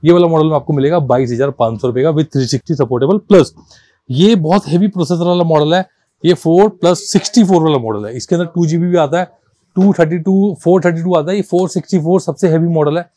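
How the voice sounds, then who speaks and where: Higher-pitched man giving a sales pitch. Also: quick pace, warm tone, dry recording. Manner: insistent, repetitive, addressing viewers as brother; mixes English numbers and terms into speech. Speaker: car accessories shop seller presenting stock. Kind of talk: informal sales pitch, product walkthrough.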